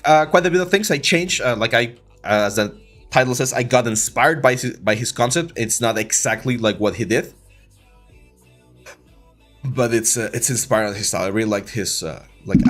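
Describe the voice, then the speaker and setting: A man with a Mexican accent talks through his 3D modeling work on a livestream, easygoing and full of "uh" and "like". He speaks into a desk mic, and soft music plays faintly underneath. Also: mid-range voice. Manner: relaxed, filler-heavy, many restarts. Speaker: 3D art instructor and livestream host. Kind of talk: casual livestream tutorial, reading chat.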